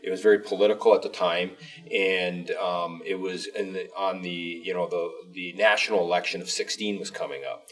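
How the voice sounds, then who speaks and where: A man with a very low voice speaks in an informal panel discussion. He explains his points emphatically and ramblingly, like an official addressing the public, and sounds a little away from the microphone. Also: steady tone, moderate pace, fairly bright tone, far from the mic, poor audio. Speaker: school superintendent co-hosting a district show.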